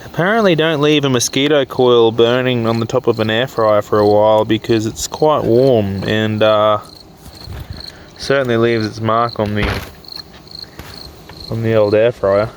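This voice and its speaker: A man's voice: medium pitch, steady tone, a little slow. Nasal Australian man, crickets behind. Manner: chatty, easygoing, rambling with ums. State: nasal and hoarse from a cold and sore throat. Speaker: camping vlogger. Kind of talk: casual vlog narration. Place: campsite outdoors at night. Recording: outdoor night noise with crickets. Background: crickets and insects.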